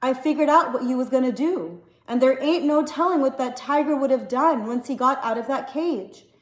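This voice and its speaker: A woman's voice: high-pitched, normal speed, echoey room, close to an everyday voice. A woman voicing a fervent, motherly Southern storybook woman who speaks in a folksy, exclamatory, commanding way. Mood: firm, scolding.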